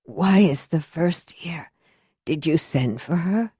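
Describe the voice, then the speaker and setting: Woman voicing a raspy, whispering sick mother. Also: deep voice, lively intonation, dark tone, no room sound, clean studio sound, full character voice. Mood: weak. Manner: faint, half-whispered, slow. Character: sick bedridden older mother, weak and hesitant. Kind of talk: audiobook character voice.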